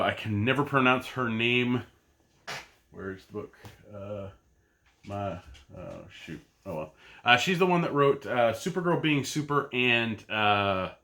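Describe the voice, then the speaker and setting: A man in his thirties talks casually about his comic pull list, wandering from thought to thought with plenty of ums and restarts. His voice has a bright, forward edge, and the setting sounds like a relaxed home recording. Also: mid-range voice, slight background noise. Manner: rambling and chatty, with many fillers and restarts. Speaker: comic book podcast host. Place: home basement.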